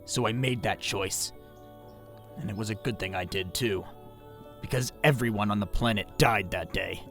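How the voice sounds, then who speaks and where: A man voicing a solitary male warrior mentor in an audio drama, grave and measured, with a gravelly voice. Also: fairly low pitch, a bit bright, faint music behind.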